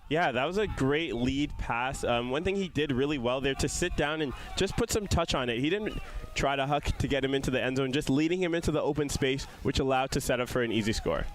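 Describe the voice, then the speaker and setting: A man's voice: mid-range voice, lively intonation, brisk, few pauses. A young adult man commentating on a live sports game in a casual, analytical style.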